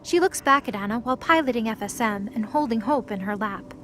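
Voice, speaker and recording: A woman's voice: a little high, expressive, fairly quick, a bit bright, slight background noise. A woman narrator reading scripted third-person narration for an audio drama.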